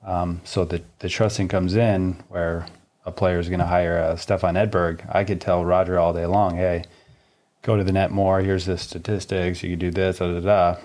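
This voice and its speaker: A man with a deep, low voice chats casually as a tennis podcast co-host. He is laid-back and often laughs. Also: level tone, normal speed, a little mellow.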